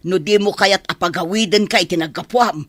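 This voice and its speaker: An actress playing a bossy, grumbling senior housemaid in a radio drama, with a sharp-tongued, impatient manner. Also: female voice, fairly low pitch, brisk, no room sound, studio quality, close to an everyday voice. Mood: irritated, bossy.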